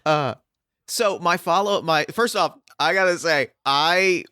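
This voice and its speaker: A man with a clear, slightly high voice, recorded close and dry. He hosts a tabletop game show in an effusive, casual way, often doubling back on his phrases. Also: sharp tone, dry recording. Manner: effusive, halting with repeated starts. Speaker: podcast host and game master. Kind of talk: casual excited chat.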